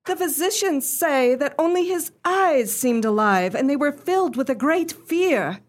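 Radio drama client: genteel young lady, played by an actress, earnest, formal, fairly high voice. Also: animated, measured pace. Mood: earnest, grave. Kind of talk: radio play acting.

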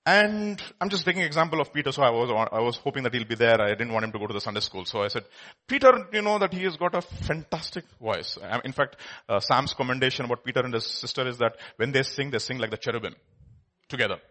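Man preaching, emphatic, bright tone, lively pitch, loud bursts. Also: fast talker. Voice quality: says he has a loud voice. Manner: emphatic, swings from quiet to loud exclamations. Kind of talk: sermon.

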